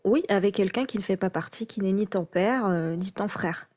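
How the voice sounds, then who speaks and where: A woman's voice: medium pitch, normal speed, lo-fi sound, a little hiss or hum. A woman taking part in a live debate, explaining terms patiently step by step.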